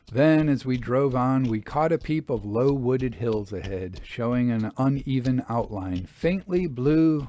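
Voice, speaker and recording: A man narrating an old-fashioned literary story in a measured, expressive storytelling manner. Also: medium pitch. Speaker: audiobook reader. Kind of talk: scripted literary narration of a Victorian travel memoir.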